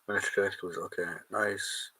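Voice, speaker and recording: A man's voice: deep voice, fairly even intonation, a little fast, sharp tone, rough recording. An Irish man hosting a live chat, casually reading out and answering viewer comments, trailing off and restarting.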